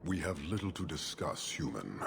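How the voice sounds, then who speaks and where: An actor playing a stern, imposing warrior commander in a video game, speaking formally and tersely with measured disdain. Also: male voice, very deep voice, sing-song, vocal fry, slight background noise, full character voice. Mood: cold disdain. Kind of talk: video game cutscene acting.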